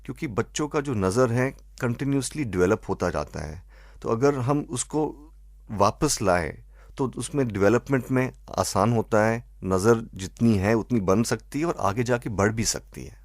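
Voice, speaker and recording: A man with an Indian accent answering as an expert doctor in an interview, measured and methodical, mixing in English phrases. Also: mid-range voice, lively intonation, no echo, studio quality. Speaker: eye doctor, expert guest.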